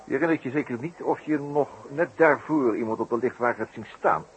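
An actor playing an urbane, teasing detective in a 1960s radio play, with pompous, ironic, wordy phrasing. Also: male voice, medium pitch, a little fast, muffled recording, natural voice. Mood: probing. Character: wry male amateur detective, urbane, teasing, inquisitive. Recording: noisy vintage radio play recording.

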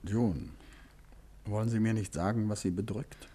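An actor playing a calm, clever detective in a 1950s radio drama, coaxing and politely insistent. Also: male voice, fairly low pitch, moderate pace, husky. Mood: probing. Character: calm clever male detective, gently probing.

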